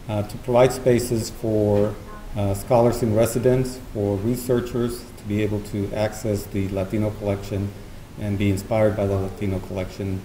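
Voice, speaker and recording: A middle-aged man giving formal welcome remarks and thanks at a podium, in a halting, measured way. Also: fairly low pitch, dark tone. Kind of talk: formal public speech with thanks and introductions. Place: large meeting hall with seated audience, indoors. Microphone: podium gooseneck microphone. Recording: roomy auditorium sound, faint noise.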